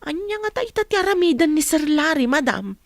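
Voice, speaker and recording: A woman's voice: high voice, expressive, brisk, no room sound. A woman playing a respectful, soothing household helper in a radio drama. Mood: curious, respectful. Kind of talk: Ilocano radio drama acting.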